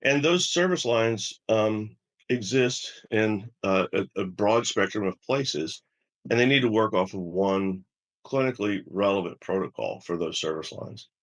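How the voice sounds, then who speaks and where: A mature man, a medical executive, answering an interview in a measured, explanatory way, halting and repeating words as he builds his points. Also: on the low side. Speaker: health system chief medical officer, interview guest.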